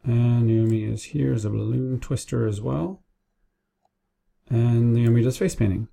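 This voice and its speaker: Man, very dark mellow tone, some vocal fry, casual software walkthrough, informal step-by-step explaining, staff training. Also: medium pitch, a little slow. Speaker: business owner training staff. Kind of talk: casual screen-share tutorial narration. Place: indoors at a computer, keyboard and mouse clicks.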